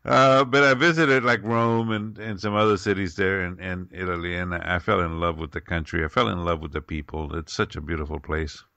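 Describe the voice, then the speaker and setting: Bright, forceful male interview host. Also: low-pitched, fairly even intonation, a little fast, few pauses, no room sound. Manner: upbeat, asks questions with hesitations. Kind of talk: hosting an interview.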